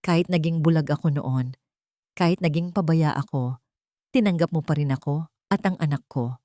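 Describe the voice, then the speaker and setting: A woman voicing a tender, earnest man, a devoted father and lover, in a dramatic audiobook with soft, halting delivery. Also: sits low, no echo, clean studio sound, natural voice. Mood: grateful, serious. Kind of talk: dramatic audiobook character reading.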